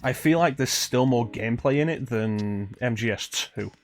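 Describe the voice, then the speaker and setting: A man's voice: mid-range voice, moderate pace. A man streaming a video game with casual live commentary, talking in rambling, conversational asides.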